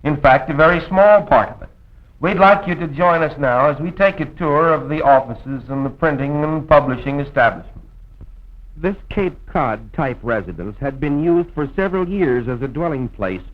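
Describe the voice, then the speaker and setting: An American man narrating a scripted promotional film in a formal, measured old-time radio announcer's style, on a vintage soundtrack. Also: a little high, expressive, lo-fi sound. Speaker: radio program host and narrator.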